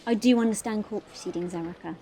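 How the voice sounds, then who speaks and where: A woman's voice: medium pitch, quick pace, dark tone, slight background noise, close to an everyday voice. A woman acting in an audio drama as a sarcastic, impatient police colleague with a blunt manner.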